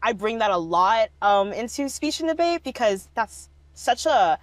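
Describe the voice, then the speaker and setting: A woman's voice: a little high, animated, normal speed, dry recording. A young woman in a casual interview, often laughing and filling her speech with "like". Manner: laughing often, many "like" fillers. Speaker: documentary subject, speech competitor.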